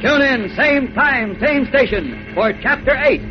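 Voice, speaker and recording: A man's voice: very high-pitched, expressive, unhurried. An American man narrating a radio serial in dramatic 1940s style. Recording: dull old radio broadcast. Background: dramatic music.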